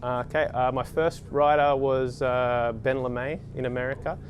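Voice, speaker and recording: A man in his thirties, a race mechanic talking casually in an interview, with many pauses and restarts. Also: medium pitch, flat delivery, a little hiss or hum. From Australia. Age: 30s. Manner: hesitant, many ums and restarts.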